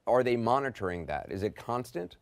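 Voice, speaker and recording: A man's voice: mid-range voice, big pitch swings, fairly quick, dry recording. A man with a mid-range voice puts questions to a guest in a news interview. His phrasing is conversational and probing, with a few restarts. The sound is dry and close. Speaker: news anchor.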